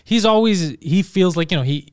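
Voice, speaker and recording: A man from Montreal tells stories in a loose podcast chat, animated and laughing, with plenty of swearing. His voice sits a little high and comes through smooth and resonant, recorded close and dry. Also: moderate pace, dry recording. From Montreal, Canada. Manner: animated storyteller, swears freely, laughs often. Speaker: comedian guest.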